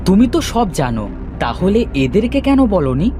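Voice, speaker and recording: A woman voicing a brave, earnest young male hero in a cartoon fairy tale, with expressive delivery. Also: mid-range voice, unhurried, music in the background. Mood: curious.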